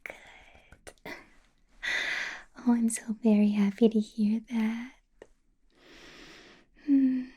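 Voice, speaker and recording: A woman's voice: on the high side, steady tone, very slow, long pauses, mellow, slightly rough, dull audio, exaggerated voice. A woman whispering as a centuries-old female vampire boss, teasing and possessive, in an ASMR roleplay. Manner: whispered throughout, teasing, menacing, playful laughs.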